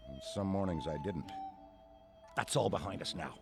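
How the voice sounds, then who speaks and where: Wordy, animated veteran ghost hunter. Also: male voice, low voice, moderate pace, vocal fry, over music. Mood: nostalgic, proud. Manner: wordy, theatrical, rambling. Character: veteran male ghost hunter, nostalgic, effusive, bookish enthusiast. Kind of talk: video game cutscene monologue.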